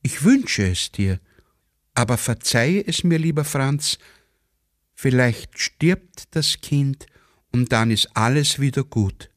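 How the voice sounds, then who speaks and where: A man voicing a naive village wife in a radio play, haltingly reading out a letter in simple, pleading tones. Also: mid-range voice, expressive, no echo, clean studio sound. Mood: naively apologetic.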